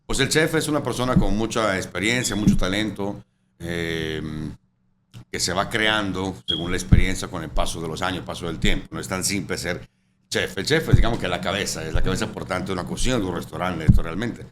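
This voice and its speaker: A low-pitched Italian man in his forties chats about his work as a chef, speaking with a native Italian accent. He rambles along with fillers and keeps tagging questions onto his sentences. Noticeable room noise sits behind him. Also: brisk. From Italy. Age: 40s. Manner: rambling, full of fillers and "¿verdad?". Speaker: chef and restaurant owner. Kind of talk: casual interview chat. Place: his restaurant. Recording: noisy room ambience.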